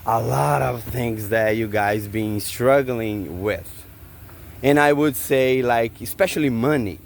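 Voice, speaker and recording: A man in his forties from Brazil, with a Brazilian Portuguese accent and Portuguese as his first language, vlogging casually outdoors after a jog, halting and searching for words with many fillers. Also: mid-range voice, creaky. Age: 40s. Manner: just back from a jog. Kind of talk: casual vlog to camera. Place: outdoors in a park.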